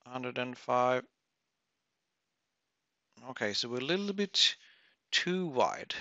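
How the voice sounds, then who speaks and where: Halting man, slow and bright-voiced. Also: medium pitch, dry recording, highs cut off. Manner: halting, thinking aloud, frequent throat clearing. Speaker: webinar presenter. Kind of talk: unscripted software tutorial.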